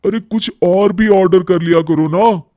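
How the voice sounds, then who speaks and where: A man playing a caring but exasperated young husband in a cartoon story, expressive and reproachful. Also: sits high, a little slow, no room sound. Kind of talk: animated Hindi moral-story cartoon acting.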